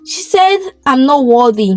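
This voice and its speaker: A meek young orphan maiden in a folktale, voiced by a woman. She is hesitant and deferential, with a high, lilting voice. Soft music sits behind her. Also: lively intonation, unhurried. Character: meek, gentle orphan maiden, timid and respectful.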